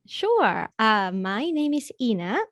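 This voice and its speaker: A woman in her forties from Venezuela, a native Spanish speaker with a Venezuelan accent, talking as a podcast guest in an energetic, motivational, straight-talking way. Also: fairly high pitch, slow pace, no echo. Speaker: guest business coach. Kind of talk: podcast interview conversation.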